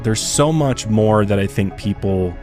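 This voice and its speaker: A hoarse male podcast host, chatty and rambling, talking in a studio. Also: on the low side, unhurried, over music. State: hoarse from illness. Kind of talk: podcast interview.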